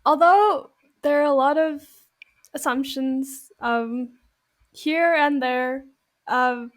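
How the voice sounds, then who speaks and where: A woman's voice: high-pitched, slow. A young woman co-hosting a podcast, chatting casually and hesitantly, often repeating words. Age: young adult. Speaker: podcast co-host. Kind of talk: casual chat.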